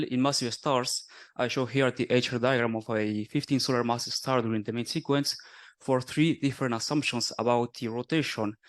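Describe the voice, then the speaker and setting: A young man in his twenties from Argentina, presenting a scientific conference talk in a steady, explanatory way. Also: mid-range voice. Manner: explanatory, steady, with small self-corrections. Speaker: conference speaker, doctoral student.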